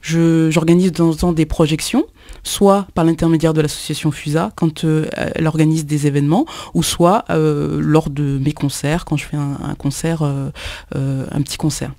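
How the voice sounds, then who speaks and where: A woman from Kinshasa, a musician guesting on a radio show, talking in a halting way with many pauses and repeated words. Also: low-pitched, fairly even intonation, warm tone, dry recording. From Congo, Kinshasa. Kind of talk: radio interview, hesitant conversational speech.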